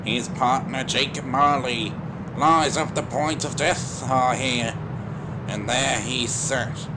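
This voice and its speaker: Man as a measured husband over loud noise. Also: medium pitch, close to an everyday voice. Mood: musing. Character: gossiping husband, measured and pitying. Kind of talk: audio drama acting. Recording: loud ambient background noise.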